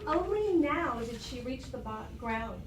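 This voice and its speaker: High-voiced woman teacher in an echoey classroom. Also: animated, unhurried, a crowd in the background. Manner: prompting, thinking aloud, addressing pupils as readers. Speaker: primary school teacher. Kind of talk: interactive storybook read-aloud to children. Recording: echoey classroom, distant mic, noisy.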